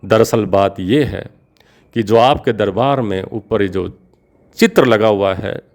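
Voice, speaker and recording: A man's voice: on the low side, unhurried, darkish tone. Regretful prisoner in a fable, pleading and emotional, voiced by a man. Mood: remorseful. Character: remorseful grown male prisoner.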